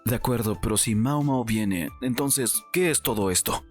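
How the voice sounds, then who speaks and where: A man's voice: mid-range voice, normal speed, soft background music, close to an everyday voice. A man playing a suspicious, embarrassed young nobleman in a dramatized audiobook, questioning and protesting. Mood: uneasy, wary.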